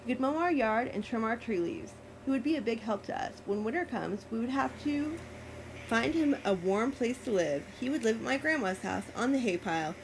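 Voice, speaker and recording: A woman's voice: fairly high pitch, lively intonation, fairly quick, noisy background. A woman reading a story aloud informally, with amused, giggly asides of her own.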